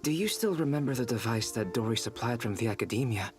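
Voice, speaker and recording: An actor playing a calm, scholarly male investigator in a video game, speaking in a measured, precise, erudite way. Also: mid-range voice, over music, close to an everyday voice. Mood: calm, thoughtful. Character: calm scholarly man, meticulous analytical investigator. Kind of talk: scripted video game dialogue.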